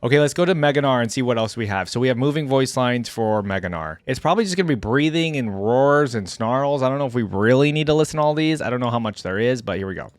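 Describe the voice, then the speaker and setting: A man's voice: mid-range voice, animated, fast talker, hardly any pauses. A man hosting a game reaction video, talking informally and thinking aloud as he reads along. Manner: informal, thinks aloud while reading out text. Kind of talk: casual reaction commentary.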